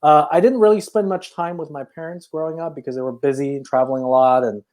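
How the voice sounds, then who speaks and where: A man's voice: a little high, quick pace, darkish tone. A man from China, a native Chinese speaker, telling his life story in a casual podcast interview, thoughtful and full of restarts. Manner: reflective, hesitant, many restarts. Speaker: podcast guest, filmmaker.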